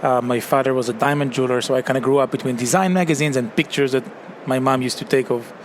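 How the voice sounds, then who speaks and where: A man's voice: medium pitch, fairly even intonation, quick pace. A man in his forties with an Israeli accent, a company CEO telling his story as a guest in an interview, talking casually with lots of fillers. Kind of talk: conversational interview. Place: busy trade show floor, open-roof podcast booth. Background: crowd chatter and noise.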